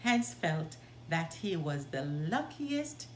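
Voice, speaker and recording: A woman reading a children's story aloud in an expressive, deliberate way. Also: low-pitched. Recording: roomy sound, background noise.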